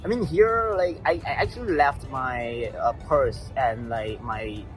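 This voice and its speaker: Young Malaysian man chatting haltingly. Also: medium pitch, soft background music, low-quality recording. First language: Mandarin. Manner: halting, with many restarts and fillers. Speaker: guest. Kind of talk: casual conversation in English.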